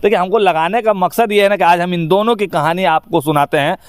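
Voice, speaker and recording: A man's voice: sits high, fairly quick, clear, resonant tone, no echo. A man with a Bihari accent giving his opinions in an informal interview, speaking emphatically in colloquial, rustic phrasing. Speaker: interview guest, actor.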